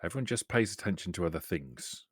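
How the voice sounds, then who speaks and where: Male tabletop player, lowish voice, casual teasing banter, dry close sound. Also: animated, fast, slightly rough, no room sound.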